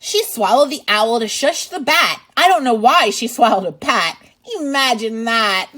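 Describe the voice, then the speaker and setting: A woman's voice: a little high, animated, a little slow, pushed and bright. A woman reading a storybook aloud to young children as a teacher and host, with exaggerated expression and asides to the listener.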